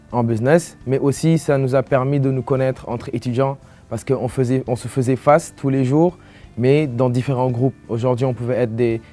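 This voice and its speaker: Man, young adult, Senegalese French accent, mellow timbre, rephrasing often, faint guitar backing. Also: medium pitch. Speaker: international student. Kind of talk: informal interview testimonial. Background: guitar music.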